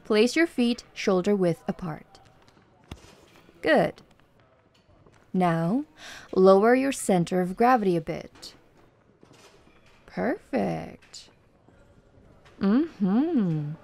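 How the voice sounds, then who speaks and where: A woman playing a confident, flirtatious tomboy MMA coach in an ASMR roleplay, speaking softly and teasingly to the listener. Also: medium pitch, lively intonation, creaky, exaggerated voice. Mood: instructing, playful. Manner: slow, teasing, giggly, encouraging.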